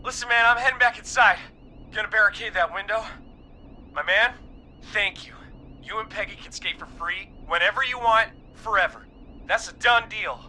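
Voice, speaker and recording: A man playing an excitable, grateful roller rink owner in a video game, talking in dramatic, slangy bursts over a noisy line. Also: high-pitched, normal speed. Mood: relieved, grateful.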